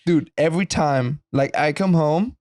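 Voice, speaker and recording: A man's voice: a little high, a little slow, a little mellow, no room sound, clean studio sound. A man co-hosting a podcast, teasing his friend and laughing often in casual chat. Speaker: podcast co-host. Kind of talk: casual banter.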